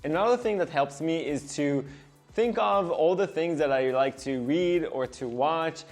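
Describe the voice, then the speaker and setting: Male animation director hosting his devlog: earnest, expressive read, somewhat high pitch, faint music underneath. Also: a little slow. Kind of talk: scripted devlog narration.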